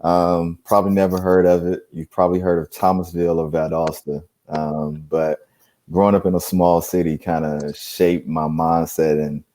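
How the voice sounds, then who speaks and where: A man's voice: a bass voice, dark tone. A man from Georgia, talking easily about growing up as an interview guest. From Dixie, Georgia. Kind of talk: interview, personal background.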